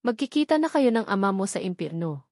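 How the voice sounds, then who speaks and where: A woman's voice: mid-range voice, very animated, fast, a little mellow, no echo, clean studio sound. A woman voicing a cruel, vengeful husband in an audiobook story, taunting and threatening with emphatic delivery. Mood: sadistic gloating.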